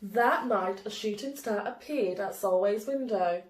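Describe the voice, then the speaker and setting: In a roomy, echoing space, a woman reads a children's story aloud. She takes her time, with an animated, deliberate storytelling delivery and a mid-range voice. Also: medium pitch, slight background noise. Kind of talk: expressive children's storybook reading. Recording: roomy, echoey indoor sound.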